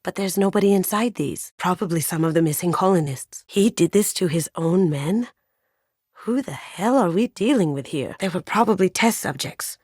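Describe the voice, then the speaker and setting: A woman's voice: lowish voice, lively intonation, moderate pace, a little mellow, breathy edge, no echo. An actress playing a sly, playful young woman squadmate in a video game, with a dry, understated wit. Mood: pensive, uneasy. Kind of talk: scripted video game companion dialogue.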